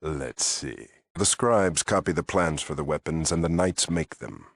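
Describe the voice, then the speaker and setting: An actor playing a stern, proud paladin commander in a video game, talking in a clipped, formal, haughty way. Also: male voice, a bass voice, lively intonation, a little slow, no echo, exaggerated voice. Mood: brusque, formal. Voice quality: gravelly. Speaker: head of a military order. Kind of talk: dramatic video game dialogue.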